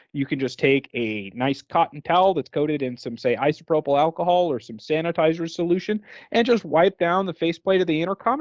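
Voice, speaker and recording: A man's voice: a little high, darkish tone, no echo. A man giving an explanatory product training talk as a presenter. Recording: dull, band-limited recording.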